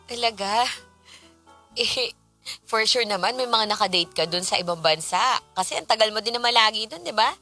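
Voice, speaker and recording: Woman acting a bright-voiced, torn married woman. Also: medium pitch, animated, normal speed, faint music behind. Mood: coy, teasing. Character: married woman torn over her returning first love. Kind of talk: Tagalog radio drama acting, dialogue and confiding narration.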